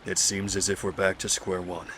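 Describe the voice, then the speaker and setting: Investigator, male actor, audio drama: lowish tense voice, impatient, insistent, formal phrasing, slight noise behind. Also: flat delivery, normal speed, bright, forceful tone. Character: stern, impatient male investigator with an injured wrist. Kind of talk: amateur audio drama acting.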